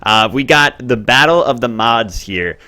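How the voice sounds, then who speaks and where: Chatty male game commentator, bright voice. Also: measured pace. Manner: chatty, excitable, bursts up in pitch at plays. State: expects to lose his voice. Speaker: tournament host and commentator.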